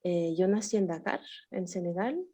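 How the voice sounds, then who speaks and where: A woman's voice: fairly low pitch, moderate pace, warm tone. A woman raised in Switzerland sharing her life story on a video call, hesitant with many fillers and restarts. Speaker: guest. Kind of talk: casual personal sharing in a group chat.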